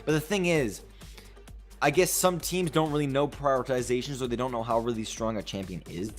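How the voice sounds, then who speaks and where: A young man casting an esports match as color commentator, explaining strategy in a lively, animated way. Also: medium pitch, brisk, soft background music. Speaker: color caster.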